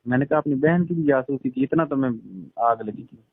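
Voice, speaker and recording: A man's voice: medium pitch, brisk, dry recording. Man on a tinny phone line. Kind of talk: casual phone chat, asking probing questions. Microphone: telephone line. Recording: tinny, band-limited phone call recording.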